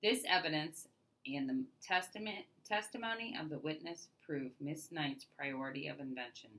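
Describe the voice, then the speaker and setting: A woman reading a storybook voices a solemn male judge with a measured, declamatory manner. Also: sits low, a bit bright, poor audio.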